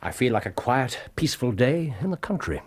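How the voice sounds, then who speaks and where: An actor playing a brilliant, eccentric detective in a radio drama, speaking in a measured, dry, understated way. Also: male voice, medium pitch, sing-song.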